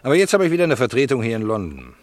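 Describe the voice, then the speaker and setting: A man's voice: mid-range voice, huge pitch swings, rapid-fire, no echo. A man playing a teasing, flirtatious travelling salesman and regular customer in a German radio drama, jovial and bantering.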